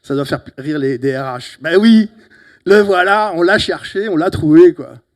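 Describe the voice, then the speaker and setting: A middle-aged man from Italy with an Italian accent, giving a lively, teasing closing talk at a conference on a handheld mic, with sudden loud emphatic bursts. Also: fairly high pitch, moderate pace. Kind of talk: lively, joking conference speech. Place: conference hall. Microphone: handheld microphone.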